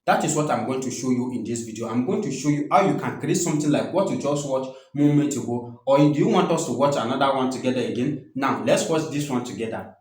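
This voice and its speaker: A man's voice: medium pitch, fast talker, a little mellow, poor audio. A young man hosting an instructional tutorial in a repetitive, step-by-step way, speaking into a desk microphone. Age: young adult. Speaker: tutorial host. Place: small indoor home studio. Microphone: desktop condenser microphone close in front.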